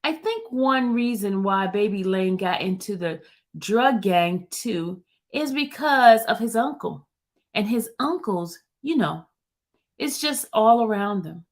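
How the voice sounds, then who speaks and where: A woman reading out a male gang witness's testimony in a lowered voice, answering in quiet, halting phrases. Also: animated. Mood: recalling.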